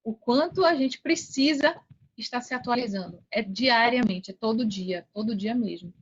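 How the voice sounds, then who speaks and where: A woman's voice: on the high side, normal speed, husky. A Brazilian woman giving casual motivational advice as an interview guest, emphatic and repeating key phrases over a muffled call. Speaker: interview guest, digital entrepreneur. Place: remote video call.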